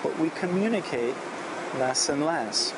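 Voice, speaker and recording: A man vlogging outdoors in a reflective, earnest style, with measured delivery. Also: on the high side, lively intonation. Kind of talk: reflective talk and reading aloud of an inspirational message. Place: outdoors at a beach. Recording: noisy outdoor recording with traffic-like ambience. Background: clear outdoor ambient noise.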